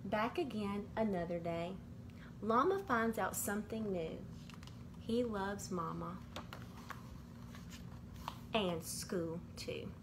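A middle-aged woman reading a rhyming children's picture book aloud in a measured, sing-song way, as bedtime stories from a bedroom. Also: mid-range voice. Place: small indoor bedroom. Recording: clearly noisy amateur home recording.

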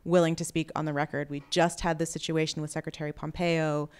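A woman's voice: sits low, level tone, a little fast, darkish tone, clean tone. A woman in her thirties moderating an on-stage interview, putting questions into a handheld microphone. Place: auditorium stage. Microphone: handheld microphone held at chest.

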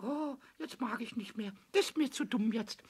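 A man's voice: very high voice, moderate pace, breathy edge. A man voicing an impish little male kobold in a children's radio play, squawky, stuttering and repeating words in a sing-song. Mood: fed up.

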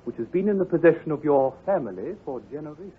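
A man's voice: a little high, animated, quick pace, a little hiss or hum, close to an everyday voice. A man playing an obliging, talkative country doctor in an old-time radio drama, speaking politely and formally. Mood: solemn. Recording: tinny, muffled vintage radio recording.

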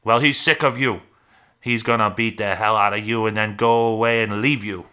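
A man voicing a gigantic, mean rabbit in a storybook, taunting and browbeating in rough country talk. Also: fairly low pitch, steady tone, moderate pace, pushed and bright. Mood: jeering, aggressive. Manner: jeering, browbeating rustic talk.

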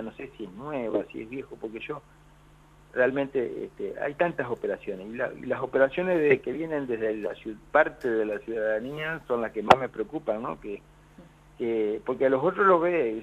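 A man's voice: mid-range voice, husky. An Argentine man, a union leader calling in to a radio show, talking in a rambling way with many restarts. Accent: Argentine Spanish. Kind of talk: phone-in radio interview. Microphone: telephone. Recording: tinny phone line.

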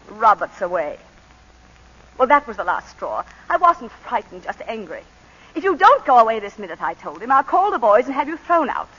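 Old radio drama: a clipped, refined planter's wife, bright and expressive, muffled broadcast sound. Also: female voice, high-pitched, husky, heavily acted. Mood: agitated. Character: composed planter's wife recounting a killing. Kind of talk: 1940s radio acting.

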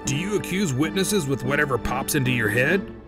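A man's voice: medium pitch, lively intonation, brisk, music in the background, lightly acted. A man playing a guarded, contemptuous murder suspect in an audio drama, speaking formally and haughtily. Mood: needling, haughty.

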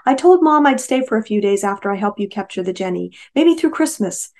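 A woman's voice: mid-range voice, lively intonation, fairly quick, a little mellow, natural voice. Woman reading a hopeful, dreamy best friend. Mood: hopeful, wistful.

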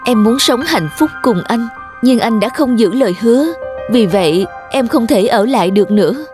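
A woman voicing a gentle young snow maiden with a tender, solemn manner in a fairy-tale cartoon. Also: on the high side, animated, moderate pace, close to an everyday voice. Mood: sorrowful. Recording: music behind the voice.

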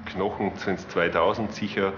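A man's voice: sits low, level tone, moderate pace. A man explaining findings spontaneously as an interviewed researcher. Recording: echoey, dull, noisy location sound.

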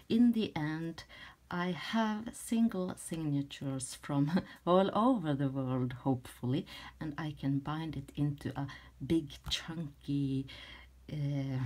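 A middle-aged woman from Norway with a Norwegian accent chats casually and haltingly as she presents her handmade craft work, searching for words in English as a second language. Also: sits low, warm tone. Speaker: crafter presenting a journal flip-through. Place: indoors at a craft table.

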